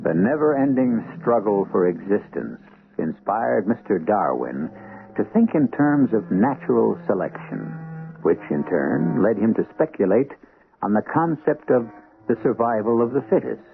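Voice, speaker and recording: A man hosting a radio mystery show, narrating in a solemn, measured, theatrical style. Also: on the low side, animated, vocal fry. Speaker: host and narrator. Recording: tinny old radio broadcast with music.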